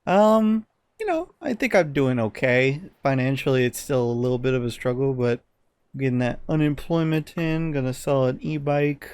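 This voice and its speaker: Young male podcast co-host, mid-range pitch, informal and slangy conversational delivery. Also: normal speed, darkish tone, clear, resonant tone, dry recording, studio quality. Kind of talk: casual chat.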